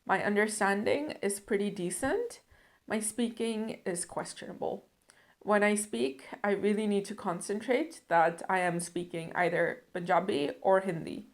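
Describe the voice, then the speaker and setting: A young woman from the Netherlands talking to camera about her language goals, earnest and reflective. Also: mid-range voice. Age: young adult. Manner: earnest, reflective, measured with some fillers. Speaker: language learning vlogger. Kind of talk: casual talk to camera.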